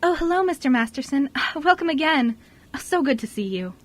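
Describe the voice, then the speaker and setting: A woman's voice: high voice, animated, fairly quick, pitched above the performer's own voice. Woman acting a flustered, friendly young orphanage worker. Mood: welcoming. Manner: apologetic, eager, stammering. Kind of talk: amateur radio play acting.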